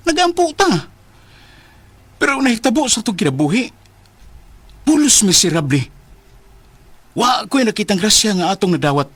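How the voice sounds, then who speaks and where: An actor playing a weary, despairing jobless Filipino husband in a Cebuano radio drama, sighing and anguished. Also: male voice, high voice, very animated, moderate pace, long pauses, fairly bright tone, no echo, heavily acted. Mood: anguished, bitter. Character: despairing jobless husband losing his faith.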